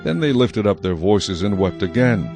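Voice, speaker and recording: Low-voiced man narrating scripture. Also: expressive, normal speed, a little mellow, faint music behind.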